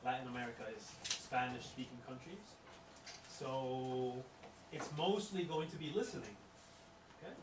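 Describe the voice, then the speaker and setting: A young man gives a relaxed classroom talk, explaining things step by step with easy fillers. He is picked up from a distance, so the room rings around his voice. Steady background noise sits under everything. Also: mid-range voice, moderate pace, reverberant. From Dominican Republic. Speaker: teacher giving a class presentation. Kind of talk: classroom presentation.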